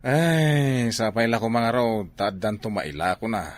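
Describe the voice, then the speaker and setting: A man's voice: mid-range voice, expressive, measured pace, dry recording, lightly acted. A man with an Ilocano accent playing a responsible, exasperated older brother in a comedy drama, sighing and admonishing. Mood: disapproving.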